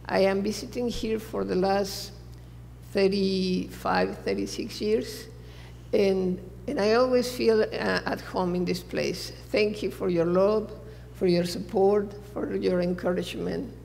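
Creaky-voiced woman in her sixties, echoing church. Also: medium pitch, animated, unhurried, dark tone, a little hiss or hum. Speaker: missionary guest. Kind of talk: personal testimony to a congregation. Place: large church sanctuary, seated on stage. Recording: reverberant church hall.

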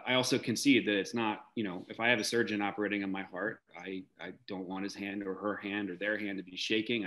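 A man in his forties with a slightly low voice, speaking as an interview guest over a video call. He is articulate and reflective, building long thoughts in a measured way and pausing to find the right word. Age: 40s. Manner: measured, articulate, searches for words with frequent pauses. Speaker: interview guest, theater director. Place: indoor room, video call.

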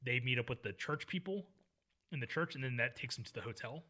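A man co-hosting a film podcast, talking in a rambling, animated way and often correcting himself mid-sentence. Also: mid-range voice, brisk. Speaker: podcast co-host. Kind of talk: casual film discussion.

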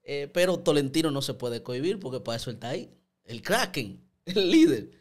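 Animated young man commenting. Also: fairly high pitch, brisk. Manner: animated, gestures a lot, frequent repeated words. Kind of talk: casual opinion commentary. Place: small indoor studio. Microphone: studio mic on stand, headphones.

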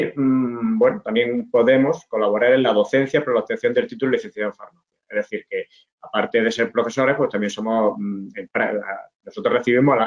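A middle-aged man from Spain walks students through his slides in a relaxed, halting way, often pausing on fillers and restarting phrases. His voice comes through a webcam with a slightly dull, lo-fi sound. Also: medium pitch. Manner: hesitant, many fillers and restarts, explaining to students. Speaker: pharmacist giving a webinar talk. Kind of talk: informal online presentation over slides. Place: indoors at a desk. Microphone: webcam video call.

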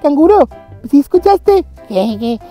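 A performer voicing an excitable, childlike children's show character who exclaims and eagerly repeats words. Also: high-pitched, a little slow, soft background music. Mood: excited.

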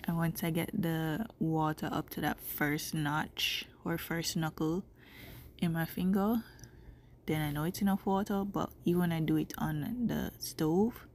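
A woman's voice: sits low, steady tone, measured pace, darkish tone, slight background noise. A young woman from Jamaica with a Jamaican accent, chatting casually as she cooks in her home kitchen, a bit stuffy from a cold, picked up by a camera mic across the room. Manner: chatty, rambling, talking while cooking. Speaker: cooking vlogger. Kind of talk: casual narration over cooking footage.

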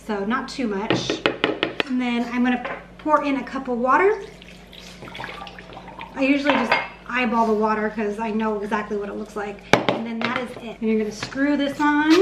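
A woman in her late thirties chatting casually as a lifestyle vlogger while she works in her kitchen, picked up by a camera on the counter. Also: on the high side. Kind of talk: casual vlog chat while cooking, answering viewer questions. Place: home kitchen, indoors. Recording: echoey kitchen with clear background noise.

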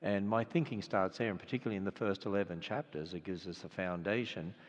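A man's voice: sits low, fast. Older Australian man preaching in a hall. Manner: conversational, earnest, many restarts. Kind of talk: storytelling sermon. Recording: lo-fi sound in a reverberant hall.

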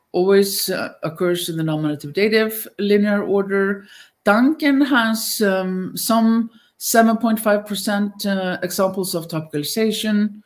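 A middle-aged woman with an Icelandic accent gives a conference lecture over slides on a video call, pausing often with fillers and restarts. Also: mid-range voice. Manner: hesitant, many fillers and restarts. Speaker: linguistics lecturer. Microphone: webcam on video call.

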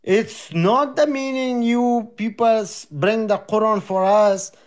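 Older non-native man, slow, hesitant, pleading, slightly high voice. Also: steady tone. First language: not English. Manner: halting, many fillers, pleading. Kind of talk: heated online debate.

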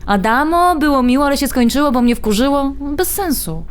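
A woman's voice: high voice, expressive, normal speed. An actress playing a frank, exasperated and teasing middle-aged woman in a naturalistic audio drama.

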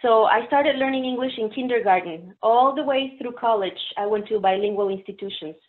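A woman with a Mexican Spanish accent gives a friendly, explanatory talk on interpreting, her pitch a little high for a woman. Her voice comes through a narrow, phone-like video call connection. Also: fairly quick. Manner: friendly, explanatory, uses fillers. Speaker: interpreter presenter. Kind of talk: webinar presentation.

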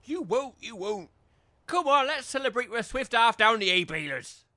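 A man's voice: very high voice, sing-song, moderate pace, sharp tone, no echo, heavily acted. A man playing a chummy, cajoling village labourer and chancer who speaks in rustic dialect, in a comedy audio drama. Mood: grateful.

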